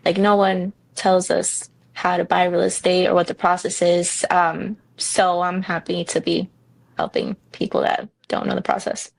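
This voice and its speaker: A woman in her thirties from New Jersey, a real estate broker answering interview questions in a frank, relaxed way with many ums. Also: lowish voice, a little slow, gravelly, no room sound, low-quality recording.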